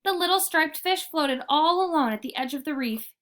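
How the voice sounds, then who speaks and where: A woman narrating a children's picture book in an expressive, deliberate storytelling manner. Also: sits high. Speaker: read-aloud storyteller.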